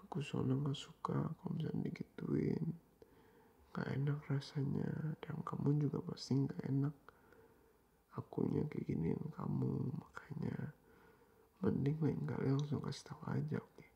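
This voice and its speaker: A young man in a boyfriend roleplay, talking softly and coaxingly in a half-whisper, dropping English phrases into his speech. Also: medium pitch, normal speed, gravelly, highs cut off.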